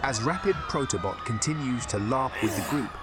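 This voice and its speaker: A man's voice: medium pitch, animated, a little fast, close to an everyday voice. A man playing the dramatic narrator of a tongue-in-cheek fantasy radio play, reading in a theatrical, suspenseful style. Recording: narration under loud music.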